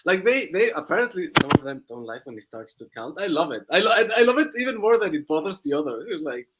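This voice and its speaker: A man's voice: on the high side, very animated, fast, very noisy. A young man with a Swiss-Mexican accent, a guest chatting casually on a live stream, laughing often and restarting words. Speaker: guest comic creator.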